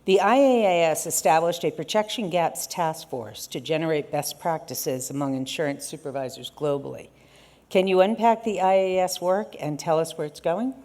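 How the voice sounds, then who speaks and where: A woman's voice: sits low, moderate pace, darkish tone. A middle-aged woman moderating an onstage armchair conversation, reading formal prepared questions. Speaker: conference moderator. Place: conference stage.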